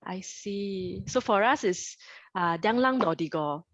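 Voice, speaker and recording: A woman tutor on a video call, curious and quick to ask and check words. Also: mid-range voice, animated, fairly bright tone, no echo. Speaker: dialect tutor. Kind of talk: conversational questions on a video call. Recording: dry, dull video call audio.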